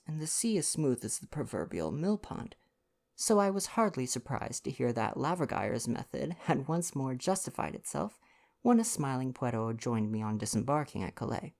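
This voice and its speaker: A man reading a novel aloud in a gentle, intimate, expressive ASMR bedtime style. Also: mid-range voice, creaky.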